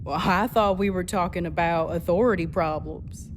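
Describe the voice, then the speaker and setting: A woman's voice: fairly low pitch, normal speed, a little hiss or hum, close to an everyday voice. A young woman playing a brash, mocking twenty-one-year-old genius navigator in a radio play, sarcastic and flippant. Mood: sarcastic, irritated.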